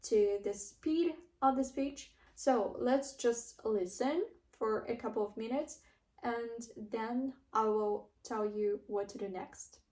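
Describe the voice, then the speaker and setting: Slow-talking Russian woman in echoey room. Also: on the high side, darkish tone. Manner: earnest, unhurried, with frequent fillers and restarts. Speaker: English-learning vlogger. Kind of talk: casual teaching monologue to camera.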